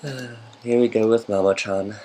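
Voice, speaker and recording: A man's voice: fairly low pitch, fairly even intonation, measured pace, a little mellow, slight background noise. A man giving casual, rambling commentary over a game, wandering into fannish asides.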